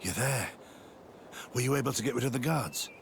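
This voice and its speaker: An actor playing a remorseful, dutiful sergeant in a video game, speaking earnestly and soberly. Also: male voice, medium pitch, brisk, creaky, a little hiss or hum. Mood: sober, alert. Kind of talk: scripted video game acting.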